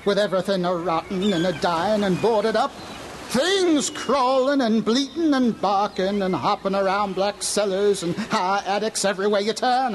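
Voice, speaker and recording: A man's voice: sits high, slight background noise, lightly acted, poor audio. An actor playing a crazed, ranting old seaport man with a feverish, folksy manner in a radio horror drama. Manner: feverish, folksy, rhetorical questions.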